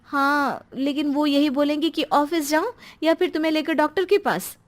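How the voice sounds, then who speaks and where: A woman's voice: high voice, fairly even intonation, moderate pace, pitched above the performer's own voice, natural voice. A woman voicing a meek, long-suffering middle-aged mother and housewife in a cartoon story, hesitant and apologetic. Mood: pained, hesitant.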